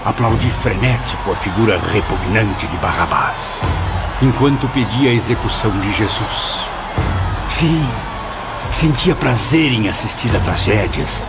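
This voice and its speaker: A man's voice: on the low side, natural voice. A man playing a bitter, wretched sinner, confessing formally and dramatically in an audiobook. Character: bitter, wretched male sinner confessing his evil past. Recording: muffled, under loud music.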